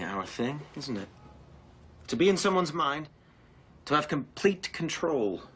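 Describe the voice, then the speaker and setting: A man playing a vain, menacing narcissist in a sitcom, with a creepy voice, grandiose and deliberately dramatic in delivery. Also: fairly high pitch, a bit bright, a little hiss or hum. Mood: sinister musing. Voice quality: creepy voice, slipping into breathy whispers. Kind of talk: sitcom monologue acting.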